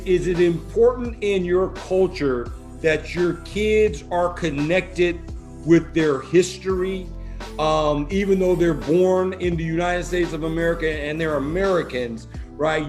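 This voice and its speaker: Affirming male host, slightly high voice. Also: a little slow, darkish tone, faint music behind. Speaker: podcast host. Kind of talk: casual conversational interviewing.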